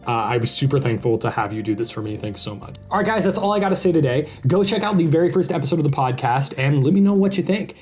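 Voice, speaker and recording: Man, somewhat high-pitched, podcast host, casual introduction, upbeat and informal, music in the background. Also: darkish tone.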